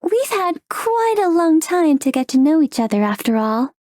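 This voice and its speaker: A woman playing a gentle ghostly young woman in a visual novel, soft-spoken, formal and measured. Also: high-pitched, very animated, no echo, studio quality. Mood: calm, kindly.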